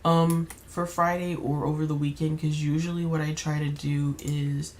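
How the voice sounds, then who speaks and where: Slightly raspy woman rambling over gameplay. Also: low-pitched, flat delivery, moderate pace, a little hiss or hum. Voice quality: slightly raspy, keeps clearing throat. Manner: rambling, many fillers and restarts. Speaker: gaming YouTuber. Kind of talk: casual gameplay commentary.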